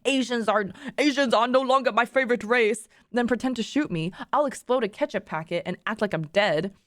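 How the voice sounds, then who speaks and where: A woman's voice: a little high, no echo, studio quality. Woman playing a teasing young guy streamer. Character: Arthur, joking, teasing young male streamer friend.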